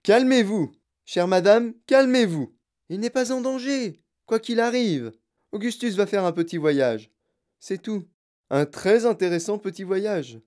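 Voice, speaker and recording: An eccentric, theatrical chocolate maker, acted out by a woman reading aloud waves away every worry. He talks in an airy, emphatic way with lively swings of pitch. The voice sits a touch raised over a warm, mellow tone. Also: measured pace, close to an everyday voice. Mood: soothing.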